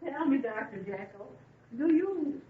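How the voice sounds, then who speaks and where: A woman's voice: high-pitched, lively intonation, measured pace, background noise. Casting: alluring society woman, inviting, distant and roomy on narrow vintage radio. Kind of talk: old-time radio drama acting. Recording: echoey, tinny radio, far off.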